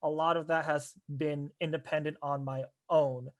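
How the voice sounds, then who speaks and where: Young man with a slightly high voice. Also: level tone, moderate pace, no room sound, clean studio sound. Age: young adult. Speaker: guest, former club advisor. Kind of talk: casual podcast chat.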